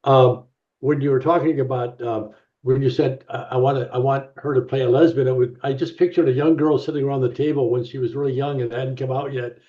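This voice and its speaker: A man's voice: mid-range voice, steady tone, a little fast. A man co-hosting a podcast, chatting casually with guests over dull, lo-fi audio. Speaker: podcast co-host. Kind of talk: casual chat.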